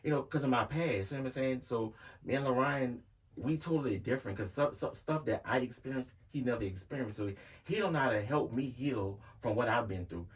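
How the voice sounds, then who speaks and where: A man's voice: medium pitch, a little fast, distant mic. A man, talking candidly as an interview guest and repeating himself earnestly. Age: 30s. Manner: earnest, repeats himself, heavy with "you know what I'm saying". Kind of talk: casual candid conversation.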